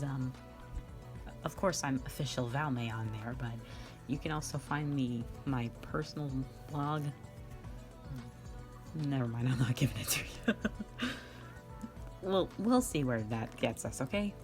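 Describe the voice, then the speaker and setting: A young woman hosting a casual question-and-answer chat, giggly, rambling and playfully self-mocking. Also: low voice. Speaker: online channel host and amateur voice actor.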